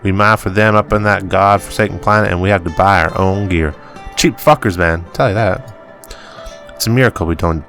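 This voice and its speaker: A gruff, worn-down farmer father who grumbles and swears about his lot, read out by a man. The voice sits very low. Faint music plays underneath. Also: a bit bright. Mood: bitter. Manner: grumbling, cursing, drawn-out reading. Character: gruff weary middle-aged farmer father, bitter miner.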